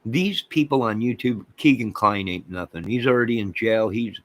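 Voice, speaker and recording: A man with an American accent and a fairly low voice speaks as an online channel host. He rants with strong opinions straight to his chat viewers. His delivery is emphatic, hammering points home by repeating them. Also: normal speed. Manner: emphatic, repeats phrases, mocks opposing views sarcastically.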